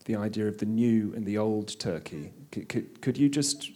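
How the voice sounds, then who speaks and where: A man's voice: fairly low pitch, expressive, moderate pace, mellow. A man moderating a discussion, asking a hesitant question with ums and uhs.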